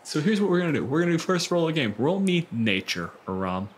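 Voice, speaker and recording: A man's voice: fairly high pitch, sing-song, quick pace, a little hiss or hum. A man casually hosting and narrating a tabletop game as game master, irreverent and free with swearing.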